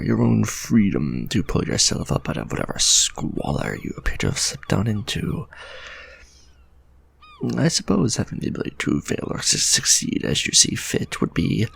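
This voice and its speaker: A refined, possessive vampire lord, played by a man, muses in formal speech while tenderly addressing the listener. His voice is deeply gravelly and husky, with pitch that swoops widely. This is an ASMR romantic roleplay. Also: exaggerated voice. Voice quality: gravelly, husky, soft-spoken.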